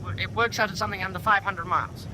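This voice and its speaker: A man's voice: sits high, steady tone, fast, heavy background noise, close to an everyday voice. An actor playing a keen young British naval officer in a radio drama, deferential and precise as he reports. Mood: keen, dutiful.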